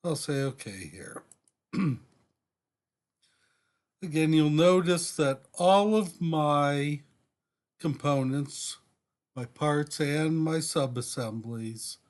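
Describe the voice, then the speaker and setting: A man with an average-pitched voice talks through a software demonstration step by step. His pace is slow and deliberate. He speaks off the cuff, often halting partway through a sentence before carrying on. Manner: deliberate, halting, frequently pausing mid-sentence. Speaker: software tutorial presenter. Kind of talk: step-by-step screen demonstration, unscripted.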